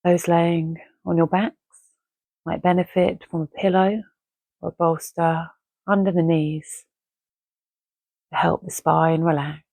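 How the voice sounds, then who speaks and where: A woman with a lowish, soft-toned voice leads a guided relaxation. She is gentle and unhurried, pausing between short phrases. The sound is dry and close, with no room around it. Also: level tone, vocal fry, no echo. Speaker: meditation guide. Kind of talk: guided Yoga Nidra relaxation, soothing and measured.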